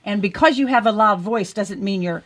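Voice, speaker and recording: A woman, a guest on a talk radio show, speaking loudly and forcefully with steady emphasis. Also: mid-range voice, moderate pace. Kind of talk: talk radio political chat.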